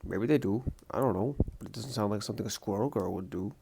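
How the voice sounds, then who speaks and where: A man's voice: on the low side, quick pace, creaky, noisy background. A man voicing a friendly young college freshman in a comic dub, a little hesitant. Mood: puzzled. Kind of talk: comic book dub voice acting.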